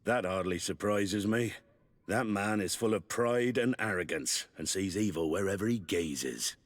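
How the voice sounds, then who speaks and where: An actor playing a proud seafaring port commander in a fantasy video game, with formal, old-fashioned, salty phrasing. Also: male voice, on the low side, measured pace. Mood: dismissive.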